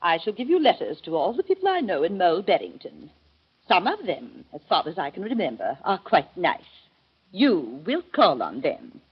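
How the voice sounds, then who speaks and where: Bossy sister, husky, lively swoops, old muffled radio. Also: female voice, fairly low pitch, heavily acted. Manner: brisk, insistent. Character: bossy older sister, brisk and managing. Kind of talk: 1930s radio drama. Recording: dull old radio broadcast.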